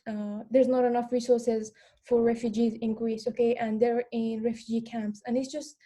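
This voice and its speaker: Young female speaker from Afghanistan, English second language. Slightly high, mellow voice, hesitant phrasing, informal panel delivery. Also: flat delivery. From Afghan, lived in Iran. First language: not English. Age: young adult. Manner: halting, repeats words, frequent "like" and "you know". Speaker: panel speaker, former refugee. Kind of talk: informal panel talk, personal account.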